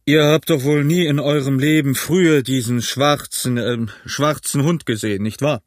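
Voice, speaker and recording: A man voicing a big, shrewd, jovial tavern keeper in an audiobook, hearty, loud and commanding. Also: medium pitch, measured pace, no echo, clean studio sound, close to an everyday voice. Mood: probing, stern. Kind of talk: acted audiobook character.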